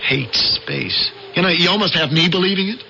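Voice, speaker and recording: An actor playing a skeptical, exasperated friend in an old-time radio drama, casual and teasing. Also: male voice, high-pitched, normal speed, gravelly, sharp tone, full character voice. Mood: wry. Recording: dull, noisy old radio recording.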